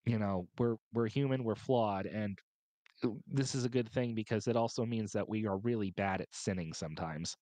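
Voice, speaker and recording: A man's voice: lowish voice, moderate pace, mellow, dry recording. A man speaking as a podcast panelist, explaining his points at length in a wordy, emphatic, step-by-step way.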